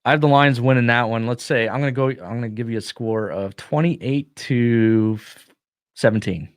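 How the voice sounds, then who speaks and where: A man's voice: medium pitch, moderate pace, no room sound, studio quality. A man hosting a casual sports podcast, giving opinionated, joking football picks while losing his voice. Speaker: podcast host.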